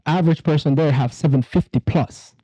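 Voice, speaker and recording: A host from Africa who grew up in Belgium talks through credit in a relaxed, explanatory way, often asking "right?" to keep his guest with him. His voice is very dark and mellow. It sits a little high for a man, and the sound is dry and slightly dull. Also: a little fast, breathy edge, dry recording. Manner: explains with examples, checks in with "right?". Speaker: podcast host. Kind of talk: casual finance chat.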